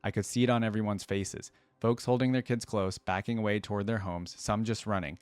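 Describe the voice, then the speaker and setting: A man's voice: fairly low pitch, steady tone, fairly quick, smooth, dry recording. A man hosting a reaction video, talking casually and reading a story aloud.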